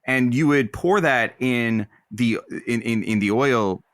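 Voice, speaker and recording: A man's voice: mid-range voice, fairly bright tone, no echo, studio quality. An actor playing an eager, easily flustered stand-in radio host who bluffs his way along in a comedy audio drama. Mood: flustered bluffing. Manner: flustered, halting, eager to seem capable.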